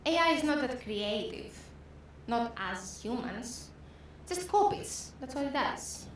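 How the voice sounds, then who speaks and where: A woman's voice: sits high, expressive, slow pace. A woman with a Greek accent telling a stand-up comedy story. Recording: very echoey room, clear background noise.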